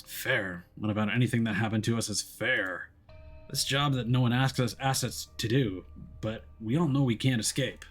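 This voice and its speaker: A man voicing a stubborn, hard-bitten male detective in a visual novel, with a gruff, terse delivery. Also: medium pitch, moderate pace, a bit bright, soft background music, natural voice. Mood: defiant. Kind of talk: visual novel character reading.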